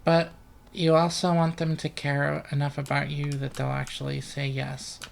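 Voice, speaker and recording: A let's player giving casual, rambling gaming commentary, profane and deadpan, with keys clicking. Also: medium pitch, normal speed, vocal fry. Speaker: let's play host.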